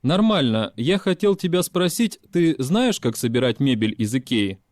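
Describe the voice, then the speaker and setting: A man's voice: medium pitch, sing-song, moderate pace, a little mellow, no echo, clean studio sound, lightly acted. A man playing a polite foreign learner. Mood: plain. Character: male foreign learner Carl, adult. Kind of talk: scripted language-lesson dialogue.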